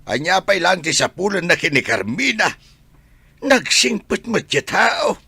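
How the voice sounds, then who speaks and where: Suspicious, irritable village father-in-law played by a man, Ilocano accent, rough lively voice, dry radio drama recording. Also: high-pitched, measured pace, no echo, full character voice. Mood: scolding.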